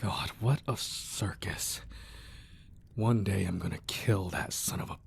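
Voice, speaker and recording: A man playing a weary, menacing crime boss with a tender side, in a controlled, deliberate ASMR roleplay delivery. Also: sits low, creaky, slight background noise, full character voice. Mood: curt, exasperated. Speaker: audio roleplay voice actor. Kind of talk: ASMR roleplay acting, close and dramatic.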